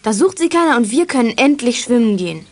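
A woman playing an excitable, cheeky young girl detective in a German children's audio drama, exclaiming eagerly. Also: on the high side, fast.

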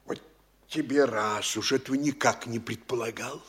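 An actor playing a timid, hesitant provincial school inspector. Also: male voice, mid-range voice, normal speed, a bit bright, reverberant, lightly acted. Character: timid school inspector, man, middle-aged. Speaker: actor in a radio play.

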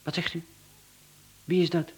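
A man's voice: on the high side, flat delivery, measured pace, close to an everyday voice. An actor playing a polite, teasing, insinuating hired killer who talks like a businessman in a radio play. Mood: teasing, amused.

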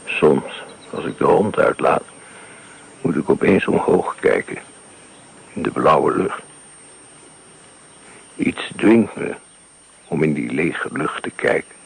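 Guy doing a haunted old writer in a radio play, super deep, gravelly, slow and whispery. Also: lively intonation, full character voice, low-quality recording. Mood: haunted, hushed. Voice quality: gravelly, breathy, half-whispered. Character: older male writer haunted by a wartime firestorm. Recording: old radio broadcast recording.

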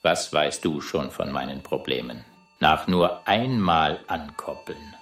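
A man playing Schmidt, a gruff, sardonic space traveler in an audio drama, with a dry delivery. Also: very deep voice, expressive, slow pace, fairly bright tone, faint music behind, full character voice. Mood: dry, scornful. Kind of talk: scripted audio drama acting. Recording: echoey room.

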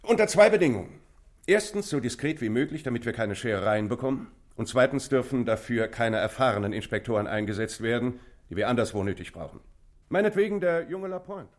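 A man's voice: mid-range voice, expressive, fairly quick, lightly acted. Man acting a public prosecutor, mid-range voice, pedantic, pompous, dismissive, old radio drama. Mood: curt, conceding. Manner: pompous, precise, enumerating. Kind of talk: 1960s German radio play acting.

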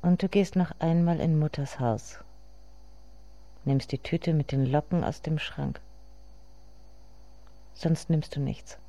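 An actress playing a bitter, grieving grown daughter who addresses herself in a measured, intimate radio monologue. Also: female voice, deep voice, mellow. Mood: reflective.

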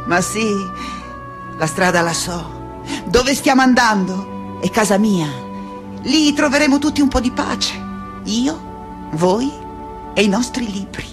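An actress playing an aging, proud and anguished woman teacher in a halting, dramatic theatrical monologue. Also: fairly high pitch, lively intonation. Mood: weary, tender. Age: older. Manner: halting, repeating words, dramatic. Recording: music under the voice.

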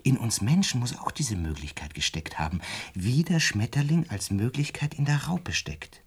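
A man's voice: mid-range voice, lively intonation, moderate pace, a little mellow, slightly rough, no room sound. An actor playing a pensive, resigned man in a radio play, recounting and explaining things in a hesitant, musing way. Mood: earnest explaining. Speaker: narrator and lead character of a radio play. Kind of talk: intimate reflective radio drama narration and dialogue.

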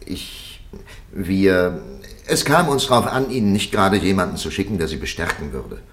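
An actor playing a stern, polished interrogator in a 1950s German radio play, formal, measured and insistent. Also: male voice, sits low, expressive, fairly bright tone. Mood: earnest concern. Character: stern, polished male interrogator pressing a silent prisoner.

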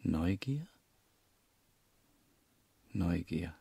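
An actor playing a reserved, brooding male intellectual in a German radio drama, terse and halting, with a husky, gravelly voice. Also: low-pitched, expressive, warm tone, no echo, full character voice.

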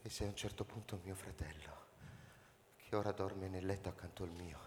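A man's voice: low-pitched, brisk, noisy background, natural voice. A man playing a frightened, paranoid spaceship captain in a theatrical radio drama, swinging between murmurs and agitated outbursts. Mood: hushed dread. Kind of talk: theatrical radio drama monologue with live music. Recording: reverberant hall, music over the voice.

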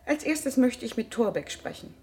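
A woman's voice: on the high side, lively intonation, moderate pace, darkish tone, lightly acted. An actress playing a scornful, bitter wife and mother in a German radio drama, speaking in a cutting, accusing way. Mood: cold, resolute. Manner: accusing, cutting, emphatic repetition.

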